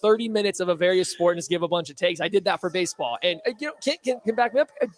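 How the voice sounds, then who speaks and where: A man giving quick, jokey football takes in casual live chat. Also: high-pitched, expressive. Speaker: football analyst.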